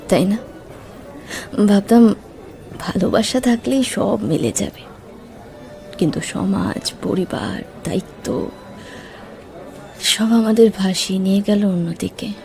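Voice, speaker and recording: Nostalgic middle-aged sweetheart for audio romance, female actor: soft, warm tone, very leisurely, reflective. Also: medium pitch, unhurried, vocal fry, long pauses. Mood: quiet regret. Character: gentle, nostalgic middle-aged woman meeting her old love. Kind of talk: Bengali romantic audio drama acting.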